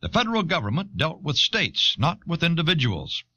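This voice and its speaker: A man reading scripted documentary narration in a measured, explanatory manner, on an old cassette recording. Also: fairly high pitch, huge pitch swings, sharp tone, dry recording, lo-fi sound. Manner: measured, expository.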